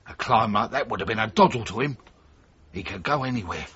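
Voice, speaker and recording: A husky, surly small-time crook. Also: male voice, fairly low pitch, animated, fairly quick, a bit bright, full character voice. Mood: guarded, insistent. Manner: blunt, gruff, defensive, swearing. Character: surly, wary small-time criminal getaway driver. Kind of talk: radio drama dialogue. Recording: dull, slightly muffled 1980s radio broadcast.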